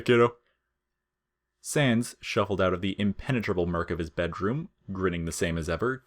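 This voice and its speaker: A man reading scripted comic fiction as narrator, in a deadpan, measured storytelling manner. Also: deep voice.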